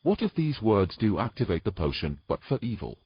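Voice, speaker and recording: A man playing a wary, stubborn, educated man in an audio fantasy, with a husky voice and cautious, pleading delivery. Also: lowish voice, very animated, brisk, no echo, muffled recording, heavily acted. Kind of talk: dramatic audio fiction acting.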